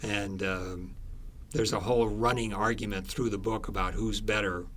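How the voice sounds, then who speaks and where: Male author interviewee, lowish voice, conversational, feels his way through explanations. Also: fairly even intonation, normal speed, fairly bright tone. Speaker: author being interviewed about his book.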